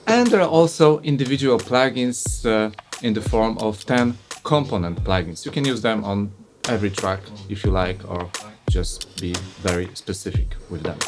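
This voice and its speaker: A man with a Polish accent, a native Polish speaker, giving a casual software review and demo with plenty of "uh" and "you know". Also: on the low side, normal speed, over music. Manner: relaxed, explanatory, with frequent "uh" and "you know". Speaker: audio plugin reviewer.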